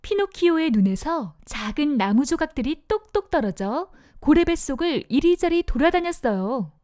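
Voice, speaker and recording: A woman narrating a scripted fairy tale for young children in an expressive storytelling manner. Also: sits high, moderate pace, dry recording, studio quality.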